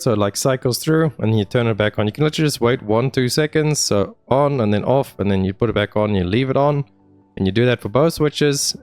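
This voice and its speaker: A man's voice: medium pitch, darkish tone, no room sound. A man narrating a game walkthrough in a casual, informal, friendly way, speaking straight to viewers. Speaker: gaming video host. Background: music.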